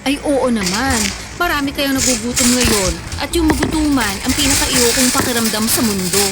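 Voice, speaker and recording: A woman's voice: sits high, moderate pace, lightly acted. A woman playing a touchy, whiny thirty-year-old woman friend in Tagalog radio drama dialogue. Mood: defensive, insistent. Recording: music bed under the voice.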